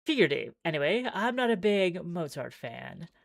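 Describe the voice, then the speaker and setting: A woman's voice: fairly low pitch, big pitch swings, moderate pace, creaky, dry recording, studio quality. A woman streaming a visual novel, reading the text aloud theatrically with wry, exclaiming asides.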